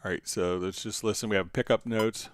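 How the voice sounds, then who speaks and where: A man hosting a tutorial stream, casually talking through each step of a software demonstration as he works. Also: on the low side, fairly even intonation, fairly quick, over music. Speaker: host answering viewer questions on a software tutorial stream.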